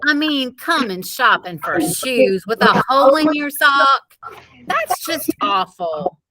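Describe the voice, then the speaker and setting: A woman acts out a fretful mother of two boys from a children's storybook. She is easily embarrassed and coaxing, and her pitch moves up and down in an animated way. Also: takes it slowly, a crowd in the background, heavily acted, low-quality recording. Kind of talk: children's story read-aloud.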